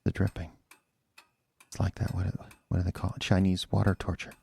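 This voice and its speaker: A troubled man in an audio horror drama, played by a male actor, speaks in a very deep voice full of fry. He is agitated and confused, stammering and circling back over his words as he pleads. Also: completely flat delivery, fairly quick, mellow, full character voice. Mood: haunted, fixated. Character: agitated confused man confessing to a killing, obsessed with dripping.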